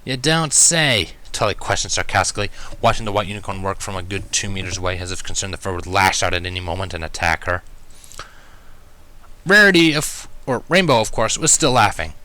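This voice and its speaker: A man reading fan fiction aloud as an animated first-person narrator. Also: low-pitched, fairly quick.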